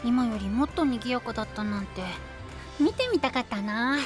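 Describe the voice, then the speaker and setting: Boyish young knight, high voice, very animated, excitable, whiny edge, anime voice drama. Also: male voice, normal speed, over music. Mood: cheerful, curious.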